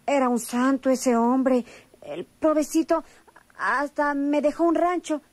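A woman's voice: high-pitched, fairly even intonation, measured pace, dry recording. An actress in a radio soap playing a naive, sweet young country woman with rustic, unschooled speech. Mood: artless, chatty. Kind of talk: radionovela acting.

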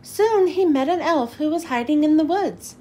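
A woman reading a children's storybook aloud in an expressive, animated, emphatic way. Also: sits high, normal speed. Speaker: children's book reader.

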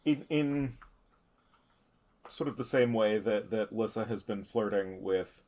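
A man talking casually as a player in a tabletop game, halting and repeating his words. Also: fairly low pitch, darkish tone. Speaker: tabletop role-playing player. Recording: faint background noise.

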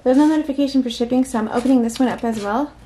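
A woman with a high voice chats casually to herself through an unboxing, prone to giggles and small exclamations. She is recorded indoors at a tabletop, with faint noise behind her. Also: racing. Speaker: unboxing vlogger.

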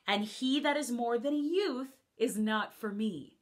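A woman's voice: a little high, unhurried, natural voice. A woman playing a witty young woman in a classical comic monologue, who mocks the idea of marriage with teasing, playful wit. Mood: mocking.

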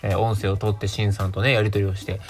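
A man's voice: on the low side, flat delivery, rapid-fire, soft background music. A man around thirty with a Kansai accent, hosting a casual radio show as a solo monologue.

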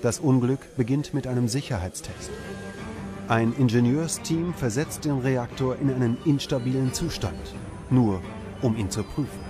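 A man narrates a documentary in a measured, dramatic delivery. His mid-range voice sits over clearly audible background music, carrying the scripted text steadily. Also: mid-range voice. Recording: music behind the voice.

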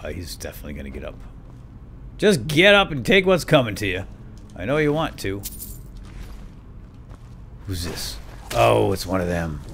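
Animated man doing game commentary. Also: fairly low pitch, normal speed, vocal fry, long pauses, a little hiss or hum. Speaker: let's play host. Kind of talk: lightly role-played video game commentary. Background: game music.

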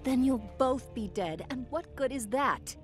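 A woman's voice: high voice, animated, measured pace, soft background music. An actress playing a stern young rebel woman in a video game, pleading and cautioning. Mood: worried. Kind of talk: video game cutscene acting.

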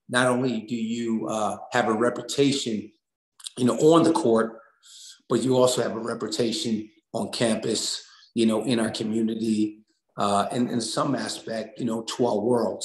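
Echoey middle-aged American man, earnest. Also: mid-range voice. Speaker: interview guest, assistant basketball coach. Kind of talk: conversational interview answers. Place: small indoor room on a video call. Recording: roomy, echoing room sound.

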